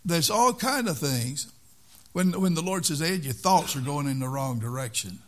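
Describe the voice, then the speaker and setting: A man's voice: a little high, lively intonation, normal speed, a bit bright. An older man preaching a sermon in an earnest way, repeating key phrases for emphasis.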